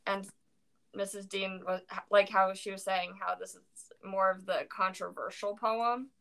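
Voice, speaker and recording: A young woman talks through poems in a loose, rambling way, full of "like" and restarts. Her voice has a gravelly creak, and she sounds worn out after a long day. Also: mid-range voice, flat delivery, unhurried, a bit bright. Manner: rambling, many fillers, self-corrections. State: tired. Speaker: co-host discussing poetry. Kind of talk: casual chat.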